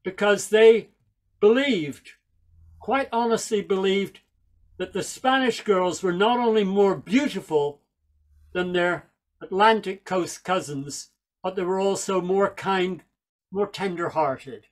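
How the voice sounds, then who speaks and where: An older man with a high voice tells stories in a wry, chatty way, throwing in asides. He talks over a video call into a headset mic from a small room at home. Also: slow pace. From Northern Ireland, raised in England. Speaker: folk singer and speaker. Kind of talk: storytelling talk on a video call.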